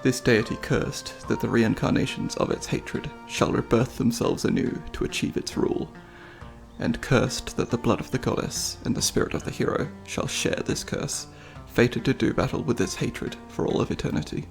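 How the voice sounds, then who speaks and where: A man playing a devout, solemn monk elder in a fantasy ASMR roleplay, speaking in a measured, formal, reverent way with a breathy, creaky voice. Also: mid-range voice, soft background music, lightly acted.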